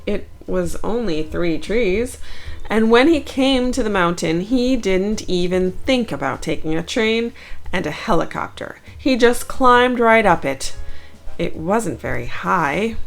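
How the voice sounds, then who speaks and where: Woman reading a kids book out loud, really slow, lots of up and down in her voice. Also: medium pitch, gravelly, faint music behind. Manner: expressive, deliberate, dramatic pauses. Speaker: children's book narrator. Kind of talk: read-aloud storytelling for children.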